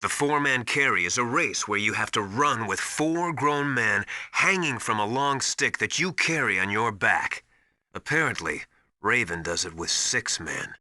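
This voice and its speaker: Video game military advisor: male, authoritative teacher type, piercingly bright, dry close sound, urgent and emphatic. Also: medium pitch, expressive, a little slow, no echo. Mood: instructive. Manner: didactic, urgent, emphatic instruction. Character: knowledgeable, commanding male military survival mentor called Master. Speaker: radio support advisor.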